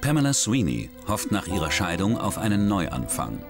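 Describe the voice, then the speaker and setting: A man narrating a true crime documentary in a measured, grave scripted voice-over. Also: sits low, wildly swooping pitch, music in the background.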